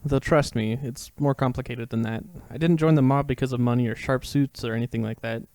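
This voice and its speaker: A man's voice: medium pitch, steady tone, brisk, a little mellow, no room sound, clean studio sound, close to an everyday voice. A man playing a downcast young ex-student turned mobster, confessing his past in a dramatic fan fiction reading. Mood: earnest.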